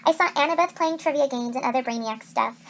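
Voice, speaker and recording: A woman reading a children's adventure novel aloud as a teacher would, with expressive narration. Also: sits high, fast.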